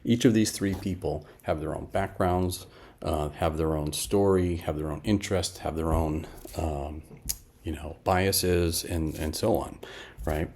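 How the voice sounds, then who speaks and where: A man in his fifties narrating a casual software demo in an informal, explanatory way with asides and a husky voice. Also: rumbling low. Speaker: app developer presenting a demo.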